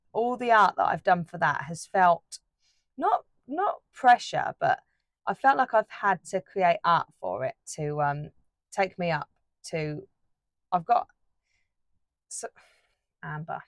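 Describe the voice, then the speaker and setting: A woman chatting casually while she paints, rambling with lots of fillers and restarts, her voice a little croaky. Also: medium pitch, no echo. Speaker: art YouTuber.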